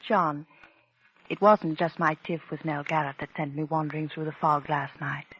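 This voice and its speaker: An actress playing a poised, genteel, scheming young stage actress in a 1940s radio drama, with theatrical composure. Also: female voice, deep voice, fairly even intonation, moderate pace, a bit bright, dull audio, slight background noise. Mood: earnest, calculating. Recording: vintage 1940s radio recording.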